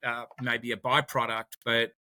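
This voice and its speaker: Bright-voiced Australian man. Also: mid-range voice, little pitch movement, a little slow. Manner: repeats words while searching for phrasing. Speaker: podcast guest. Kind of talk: conversational interview.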